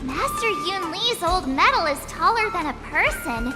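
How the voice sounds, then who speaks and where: A woman's voice: very high voice, very animated, normal speed. An actress voicing an eager, nervous teenage girl sword apprentice in a video game. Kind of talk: video game character voice acting. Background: game music.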